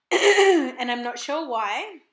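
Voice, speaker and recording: An Australian woman with a croaky, husky throat, chatting casually and apologetically in a voiceover for her planner vlog at home. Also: high voice, expressive, drawn-out words, roomy sound. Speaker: planner vlogger.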